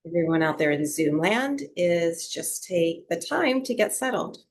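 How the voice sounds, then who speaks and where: Slow, low-voiced woman guiding relaxation. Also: sing-song. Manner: soothing, gentle, unhurried instruction with long pauses. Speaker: yoga and breathwork teacher. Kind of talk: guided relaxation meditation.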